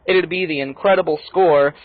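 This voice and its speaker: A man's voice: a little high, moderate pace, narrow, tinny audio. A man, a native English speaker, giving a blunt, casually profane and opinionated movie review in a conversational style.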